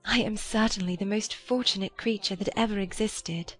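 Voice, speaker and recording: A woman performs a gentle young gentlewoman from a period novel. She is earnest, good-natured and trusting, with a mid-pitched voice. Quiet music sits behind this audiobook drama. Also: moderate pace, lightly acted, low-quality recording. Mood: happy, tender. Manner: soft-hearted, earnest.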